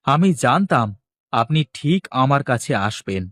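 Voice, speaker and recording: A man's voice: medium pitch, lively intonation, a little slow, fairly bright tone, no echo. Man acting a knowing village fellow, dry sound. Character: knowing, self-assured village man, respectful to his boss. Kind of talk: cartoon dialogue acting.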